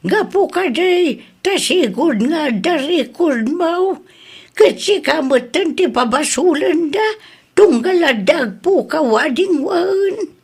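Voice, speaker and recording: A woman acting as an elderly grandmother in an Ilocano radio drama. She speaks very high and very slowly, drawing out her words with protective feeling and ready indignation. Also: animated, darkish tone, exaggerated voice. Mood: indignant, hurt.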